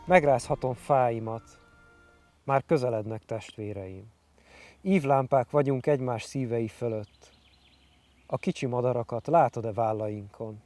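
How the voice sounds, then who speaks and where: A man's voice: mid-range voice, moderate pace, mellow, faint music behind. A man reciting poetry.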